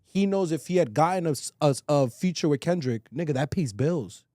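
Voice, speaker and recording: A man's voice: on the high side, animated, fairly quick, dark tone, dry recording, clean studio sound. A man talking casually and with opinions as a podcast co-host, slangy and emphatic, swearing and repeating phrases for weight.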